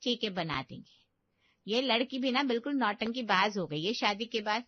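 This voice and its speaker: A woman's voice: a little high, lively intonation, moderate pace, no room sound. Lady doing a tired middle aged mom/granny in a story, grumbles but gives in, bit muffled. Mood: resigned. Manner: protesting yet giving in. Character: indulgent but worn-out middle-aged mother and grandmother.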